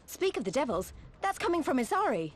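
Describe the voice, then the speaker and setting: Eager young adventuress for game cutscenes: high, brisk, animated. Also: female voice. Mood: urgent. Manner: brisk, plucky, expressive. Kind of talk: dramatic game cutscene acting. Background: music.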